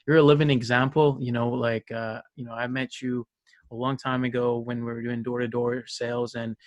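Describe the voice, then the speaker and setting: A man's voice: mid-range voice, flat delivery, normal speed, dry recording. A man hosting an interview show, chatting casually in an enthusiastic, complimentary and affirming way. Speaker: podcast host.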